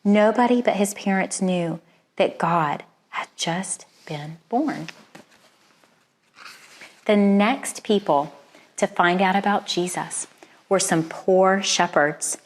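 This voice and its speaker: A woman narrates a children's story in a voice of middling pitch. Her read-aloud style is expressive and deliberate, using dramatic pauses and hushed emphasis to shape the telling. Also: slight background noise.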